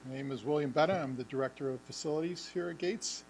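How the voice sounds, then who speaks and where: A man presenting a facilities update at a school board meeting, halting with many ums and restarts, and losing his voice. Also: on the high side, creaky, background noise. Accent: Western New York. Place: school administration meeting room.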